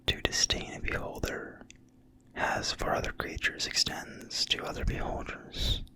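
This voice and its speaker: A breathy whisperer reads aloud in ASMR style, never using full voice. The whisper is bright and sibilant. The delivery is slow, hushed and deliberate, with measured pauses between phrases. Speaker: narrator reading from a fantasy game manual.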